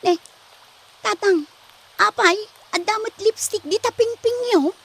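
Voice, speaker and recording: A woman's voice: very high voice, a little slow, vocal fry, a bit bright, full character voice. A woman playing an innocent, inquisitive young daughter in a comedy radio drama. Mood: innocent, curious.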